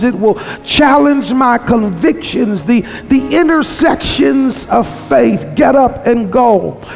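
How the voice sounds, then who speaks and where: A man's voice: extremely high pitch, creaky. A man preaching a sermon in an emphatic, repetitive cadence that sometimes slides into half-singing. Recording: reverberant hall, faint music behind.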